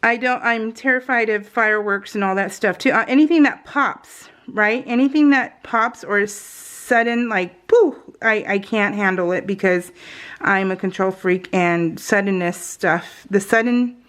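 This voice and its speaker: Chatty lady doing a craft vlog, normal pitch, rambles off topic, jokes about herself. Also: a little slow, fairly bright tone. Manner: chatty, self-deprecating, jokey, digressive. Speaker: craft vlogger. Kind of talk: casual, rambling chat while crafting.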